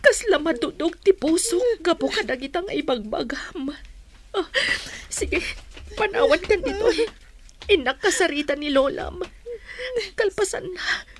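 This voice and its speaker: Sad tender filipina mom, high voice, apologetic, radio drama. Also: female voice, lively intonation, unhurried, a little hiss or hum. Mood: tearful, tender. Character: sorrowful, gentle mother leaving her child behind.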